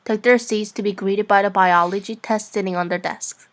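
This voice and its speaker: A woman's voice: mid-range voice, fairly even intonation, normal speed. A woman narrating fan fiction in an informal, amateur reading-aloud style. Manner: giggly, informal.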